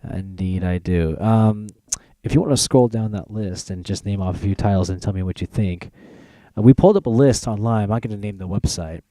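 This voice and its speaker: A man's voice: on the low side, fairly quick, warm tone, dry recording. A man hosting a casual podcast chat, talking in an informal, agreeable way. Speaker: podcast host.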